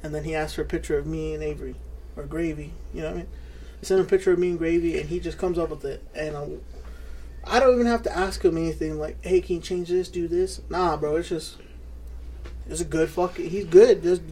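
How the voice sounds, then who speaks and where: A man's voice: a little high, steady tone, fast, mellow, breathy edge. A man co-hosting a podcast, chatting casually, laid-back and profane, saying "bro" constantly. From New Mexico. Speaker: podcast co-host. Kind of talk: casual chat.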